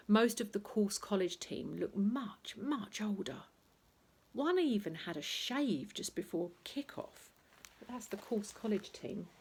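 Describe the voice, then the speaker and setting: A woman reading a children's bedtime story aloud in an expressive, playful way, acting out noises as she goes. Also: mid-range voice, slightly rough. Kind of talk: animated bedtime story reading with sound effects and asides.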